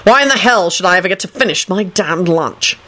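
A man's voice: high voice, animated, fairly quick, pushed and bright. A woman voicing a stern, sardonic male government minister in an audiobook-style story, formal and imperious. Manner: formal, sarcastic, clipped commands.

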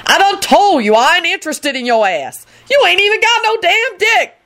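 A sassy, disdainful woman, acted by a female narrator scolds in a high, lively voice. She is scornful and wrathful, a storybook character who takes no nonsense. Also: moderate pace, exaggerated voice.

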